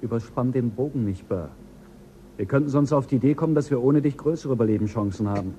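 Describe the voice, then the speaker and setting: A man's voice: medium pitch, fast, mellow, slight background noise, natural voice. An actor playing Jell, the stern male pilot of a spaceship, in a German radio play, talking in a firm, commanding and threatening way.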